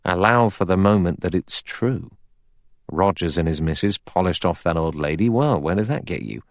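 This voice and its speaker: A man's voice: low voice, expressive, normal speed, dry recording, studio quality. A man voicing a blunt, aggressive, suspicious detective in an audiobook, arguing in pushy, colloquial bursts. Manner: pushy, colloquial, emphatic argument. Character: blunt, aggressive middle-aged male detective, suspicious and cocksure.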